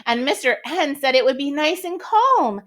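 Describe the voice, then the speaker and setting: A high-pitched, very animated lady from a children's storybook, acted out by a woman reading aloud. She is cheerful and polite, speaking in exclamations with a sing-song lilt. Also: moderate pace. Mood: exasperated surprise. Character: Miss W, cheerful polite lady letter, weather forecaster.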